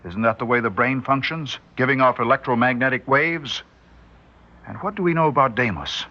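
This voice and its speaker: An actor playing a grave, uneasy male scientist in 1960s radio drama, speaking deliberately with dramatic pauses. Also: medium pitch, lightly acted. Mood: grave speculation. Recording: muffled vintage 1960s radio recording.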